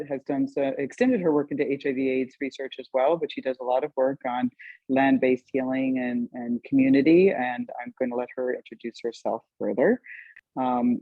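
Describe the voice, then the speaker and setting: A man moderating a panel over a video call, introducing guests with many halting fillers. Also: mid-range voice, dry recording. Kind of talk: hesitant spoken introduction. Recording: tinny phone-like video call audio.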